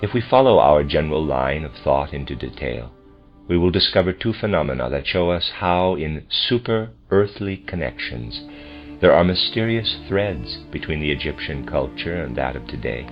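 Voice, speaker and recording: A man reading a translated lecture aloud like an audiobook, with measured, formal and deliberate phrasing. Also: very deep voice, lively intonation. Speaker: lecture reader. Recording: dull lo-fi recording with music behind. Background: music.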